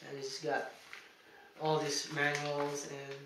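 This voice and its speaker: A man's voice: a little high, monotone, slow pace, distant mic, noisy background. A young man, a Tagalog speaker, chatting emphatically in a casual video game review and laughing between points, recorded indoors. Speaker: gaming vlogger. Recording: echoey room, mic set back.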